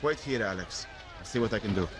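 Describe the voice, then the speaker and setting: A man's voice: fairly low pitch, fast, natural voice. A man voicing a guarded, pragmatic shepherd guide in an audio adventure game. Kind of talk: dramatic audio game acting.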